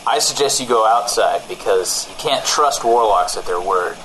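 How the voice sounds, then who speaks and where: A man playing a paranoid, stubborn radio co-host who speaks emphatically in deadpan comedy banter. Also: lowish voice, moderate pace, a little hiss or hum, lightly acted, poor audio. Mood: paranoid, emphatic.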